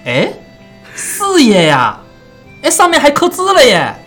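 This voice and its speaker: A woman playing a brash, excitable student in an audio drama, blustery and exclamatory, with a Nanchang accent. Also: sits high, measured pace, faint music behind, pitched above the performer's own voice, full character voice. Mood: astonished, indignant. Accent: Nanchang-accented Mandarin. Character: brash, excitable student receiving a birthday gift.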